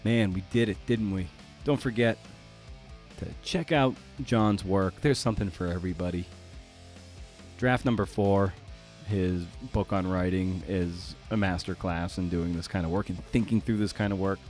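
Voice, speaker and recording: A man's voice: sits low, fairly even intonation, moderate pace, darkish tone, soft background music. A man hosting a podcast, talking casually in an informal, slangy and effusive way. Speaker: podcast host and interviewer. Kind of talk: casual podcast chat and monologue.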